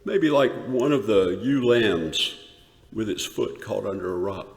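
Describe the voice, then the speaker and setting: A man performing a dramatic monologue as a rough, awestruck shepherd recalling a wondrous night, with emphatic pauses. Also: lowish voice, animated. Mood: awed, building. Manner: emphatic, dramatic pauses, swells from hushed to shouted. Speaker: dramatic reader. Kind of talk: theatrical dramatic monologue reading. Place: large church, live performance. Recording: echoey church hall, long reverb.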